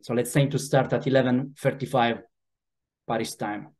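A man with an Italian accent welcomes participants to an online webinar over a video call. His voice sits at an ordinary middle pitch, and his delivery is loose and hesitant, full of small pauses and fillers. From Italy. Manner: relaxed, hesitant, with frequent "uh" fillers. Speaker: webinar host.

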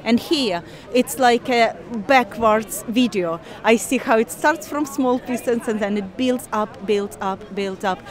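A woman in her forties, originally from Ukraine, speaks English with a Ukrainian accent in an outdoor park interview, earnest and measured with frequent pauses. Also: a little high, some chatter behind. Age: 40s. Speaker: interviewee, scientist. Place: park outdoors, people milling behind.

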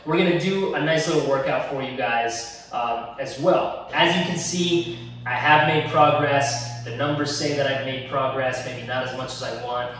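A man's voice: medium pitch, moderate pace, fairly bright tone, slight background noise. A young man hosting a fitness vlog in a home gym, talking in an earnest, encouraging way to camera. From Egypt. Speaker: fitness channel host. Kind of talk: casual fitness vlog talk. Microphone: camera at a distance. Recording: echoey room, mic at a distance.

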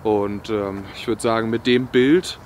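A man's voice: lowish voice, steady tone, unhurried, a little mellow, slight background noise. A young man chatting casually and colloquially to camera in a laid-back way, outdoors in the woods. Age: young adult. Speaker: outdoor vlogger.

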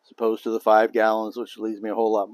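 An older man hosting a beekeeping demonstration, talking casually and thinking aloud as he works on an outdoor patio. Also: fast talker. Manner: relaxed, thinking aloud, low-key. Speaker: beekeeping video host.

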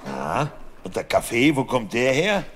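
A man's voice: medium pitch, wildly swooping pitch, measured pace. A man playing a grown-up country relative in a children's radio play, playful and kindly but firm. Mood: teasing.

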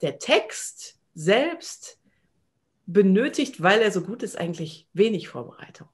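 A woman in her thirties speaks in a relaxed interview setting at a medium pitch. She comes across as enthusiastic and playful, explaining things in a conversational way. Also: measured pace. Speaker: voice actress, interview guest. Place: small indoor room at home, video call.

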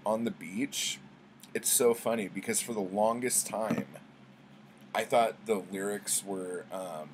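A man's voice: fairly low pitch, a little hiss or hum. A middle-aged man chatting casually to camera as a book vlogger, rambling in a laid-back, slangy, sweary way. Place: small book-lined room at home.

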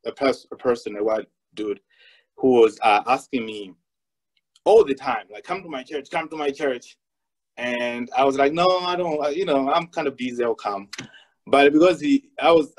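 A man's voice: medium pitch, rough recording. A young man from Malawi, speaking as a panelist on a video call and telling a personal story with many fillers and restarts.

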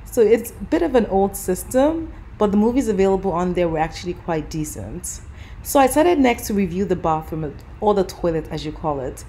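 A woman's voice: medium pitch, big pitch swings, fairly quick, dark tone, slight background noise. A woman in her thirties, talking casually and upbeat as a travel vlogger, full of little fillers. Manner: chatty, upbeat, lots of fillers like "you know". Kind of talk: casual vlog chat and voice-over review. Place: airport lounge, indoors. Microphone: camera held close at arm's length.

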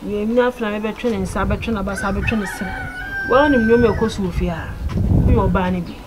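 A woman's voice: medium pitch, fairly quick, close to an everyday voice. A woman with a Ghanaian accent playing an insistent talker in a drama dialogue. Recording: noisy ambient location sound.

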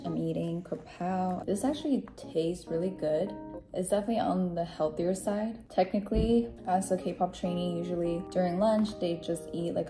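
A woman in her twenties chatting casually in a vlog at home, giggly and saying "like" a lot. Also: on the low side. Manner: chatty, giggly, says "like" often. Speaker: vlogger. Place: home apartment, indoors.

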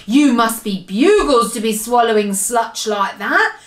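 A woman's voice: a little high, expressive, measured pace, fairly bright tone, echoey room. A woman voicing a brutish, greedy male giant from a storybook, bellowing and scornful in mangled grammar. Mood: roaring, gloating.

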